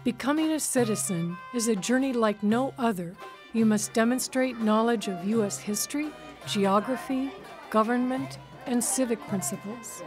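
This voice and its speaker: A woman delivers a deliberate, scripted documentary narration in a slightly high voice. Music plays clearly beneath her words.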